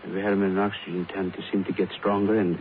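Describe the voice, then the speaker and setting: An actor playing a grave, somewhat hesitant doctor in 1950s radio crime drama style. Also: male voice, low voice, little pitch movement, quick pace, close to an everyday voice. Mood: grave, urgent. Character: male doctor reporting gravely on a patient. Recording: muffled, very noisy old radio recording.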